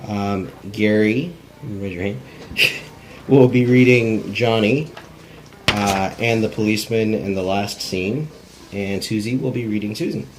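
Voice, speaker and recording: Man, lowish pitch, scripted narration, stage directions, table read, amateur recording, light background noise. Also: unhurried. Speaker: screenwriter reading stage directions.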